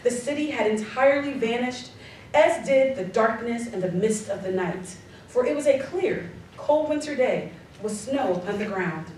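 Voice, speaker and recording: High-voiced, echoing woman narrator. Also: animated, a little mellow. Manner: theatrical, emphatic, deliberate word stress. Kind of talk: dramatic scripted story narration. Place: live community theatre stage. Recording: distant mic, very echoey live hall, slight noise.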